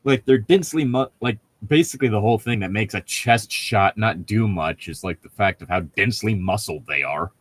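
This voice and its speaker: A man's voice: on the low side, a little fast, hardly any pauses, slightly rough, dry recording. A man in casual conversation as a podcast co-host, swearing freely and joking crudely. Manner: crude joking, profane, laughs often. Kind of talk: casual chat.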